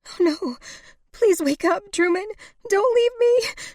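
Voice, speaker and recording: Woman narrator doing heartbroken lady in love, emotional, pleading, voice way up high, novel style. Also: expressive, slow pace, darkish tone, no echo, studio quality, exaggerated voice. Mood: grief-stricken. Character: anguished, devoted woman in love, fiercely protective.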